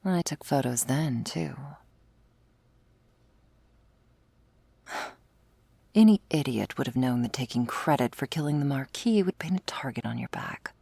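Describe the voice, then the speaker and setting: A woman playing a smug, predatory female vampire in an ASMR roleplay, flirtatious and taunting, with teasing, drawling, breathy delivery. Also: extremely low pitch, lively intonation, moderate pace, warm tone, dry recording, full character voice. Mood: mocking, amused.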